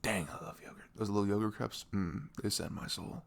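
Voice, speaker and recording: A man playing a sweet, caring boyfriend in an intimate ASMR roleplay, rambling casually and playfully with breathy asides that drop into whispers. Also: on the low side, brisk. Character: sweet, caring boyfriend chatting the morning after. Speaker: audio roleplay performer.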